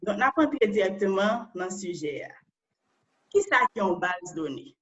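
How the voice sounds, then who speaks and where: A woman's voice: medium pitch, lively intonation, moderate pace, highs cut off. A Haitian woman and data analyst giving an online presentation, explaining step by step and often ending phrases with a questioning tag.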